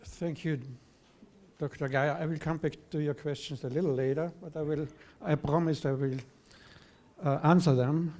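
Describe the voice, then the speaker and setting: A man starting his talk on a conference panel, speaking hesitantly with many pauses and fillers. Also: fairly high pitch, steady tone, a little mellow. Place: conference hall.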